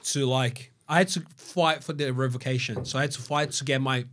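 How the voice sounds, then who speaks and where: Male guest, 40s, lively slangy storyteller, studio podcast mic. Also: medium pitch, brisk. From Brazil. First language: Portuguese. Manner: animated, slangy, restarts and repeats words. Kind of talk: casual interview storytelling. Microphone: studio mic on boom arm.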